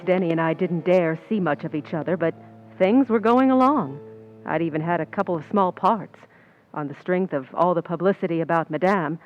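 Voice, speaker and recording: A woman's voice: fairly low pitch, dull audio, lightly acted. A woman playing a young, ambitious movie stand-in with a guilty secret, confiding in old-time radio suspense style.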